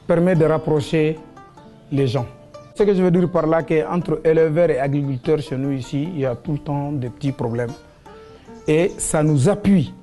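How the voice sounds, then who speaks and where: A man's voice: fairly high pitch, mellow. A man with a West African accent answering in a documentary interview, explaining in a hesitant way and repeating his phrases.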